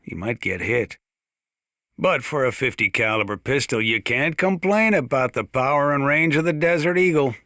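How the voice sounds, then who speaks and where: An actor playing a gruff, plain-spoken old small-town mountain sheriff with an American accent, talking over the radio in a video game. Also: male voice, mid-range voice, expressive, bright, forceful tone, dry recording, clean studio sound. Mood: folksy, opinionated. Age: older. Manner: folksy, plain-spoken, drawn-out hesitations. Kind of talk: in-game radio broadcast monologue.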